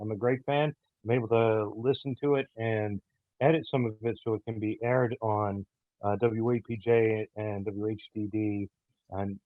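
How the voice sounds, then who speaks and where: A man giving casual interview answers as a guest writer, in a halting way full of ums and pauses. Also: lowish voice, no echo, phone-quality sound. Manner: halting, filler-heavy delivery. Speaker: guest, audio theater writer.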